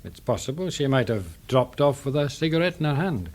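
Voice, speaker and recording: A police inspector in an English radio play. He has a medium-pitched voice and speaks in a measured, courteous way with a touch of suspicion. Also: sing-song, darkish tone. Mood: matter-of-fact. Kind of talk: BBC radio drama acting.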